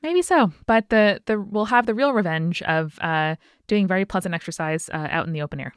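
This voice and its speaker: A woman's voice: medium pitch, animated, fairly quick, clear, resonant tone, no room sound, clean studio sound. A woman co-hosting a podcast, telling a story in a casual, playful, conversational way. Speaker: podcast host.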